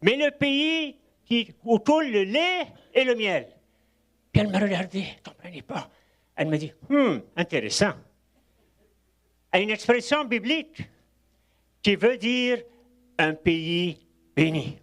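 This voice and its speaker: Older man, guest preacher, high voice, lively rising and falling delivery, anecdotal sermon. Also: measured pace, pauses often. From Egypt. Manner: warm storytelling with asides and chuckles.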